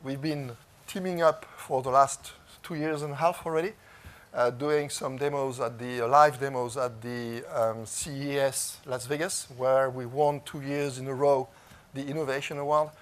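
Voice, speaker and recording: A man with a French accent, French his first language, presenting at a technology conference in a halting way, with many fillers and restarts. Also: mid-range voice, fairly even intonation. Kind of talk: conference talk. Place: conference stage.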